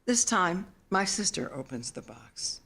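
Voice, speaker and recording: Slow, measured woman reading memoir, lower-pitched. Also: breathy edge. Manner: measured, expressive, solemn storytelling. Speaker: author reading own work. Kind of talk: literary memoir reading at live event. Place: theater stage, live reading.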